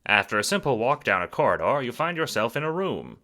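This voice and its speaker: A man giving dramatic, wry scripted narration for an audio drama, theatrical and expressive. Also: medium pitch, brisk.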